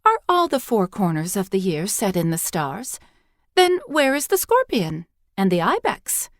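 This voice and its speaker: A woman voicing an eager, curious young girl who asks questions excitedly in an audiobook story. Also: medium pitch, a little slow, dry recording, clean studio sound.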